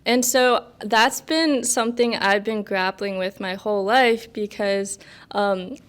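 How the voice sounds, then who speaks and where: A young American woman in her twenties, guest on a podcast. She chats casually, rambling with lots of fillers and little laughs, in a smooth, clear voice of middling pitch. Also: slow pace. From United States. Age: 20s. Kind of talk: casual interview chat.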